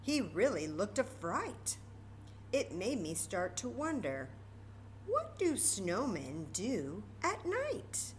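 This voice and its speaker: Slow, animated American woman reading to children. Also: medium pitch, slight background noise, poor audio. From Texas, United States. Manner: expressive, talking directly to young children. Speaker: storytime reader and host. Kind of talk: children's read-aloud with chatty asides.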